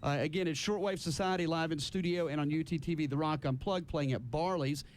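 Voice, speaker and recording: A man's voice: fairly high pitch, a little fast, slight background noise. A man hosting a casual live radio interview, chatty and rambling, often repeating himself. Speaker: radio host interviewing a band. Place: radio studio.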